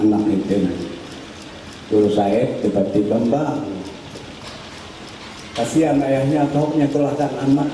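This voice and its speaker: An older man telling a life story at a religious gathering in an unhurried, folksy way with asides. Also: on the low side, dark tone. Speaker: cleric telling his teacher's life story. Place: large hall at a religious gathering. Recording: echoey, distant mic, noisy hall.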